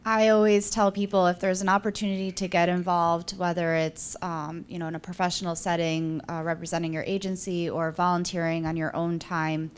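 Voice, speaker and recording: Smooth, even-toned woman on a panel. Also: lowish voice, fairly quick, few pauses. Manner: encouraging, earnest. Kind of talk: panel discussion answer. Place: auditorium stage panel.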